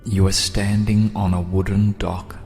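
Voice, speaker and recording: A man narrating a scripted guided meditation in a soothing, measured manner, with long pauses and soft, breathy phrase endings. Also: low-pitched, little pitch movement, faint music behind.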